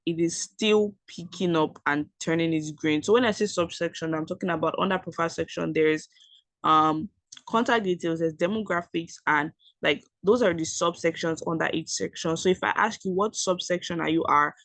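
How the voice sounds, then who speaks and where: A woman's voice: on the low side, moderate pace, fairly bright tone, no room sound. Nigerian lady doing a screen tutorial, lots of ums, corrects herself, dry close sound. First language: Yoruba. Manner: chatty, self-correcting, many fillers. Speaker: education YouTuber.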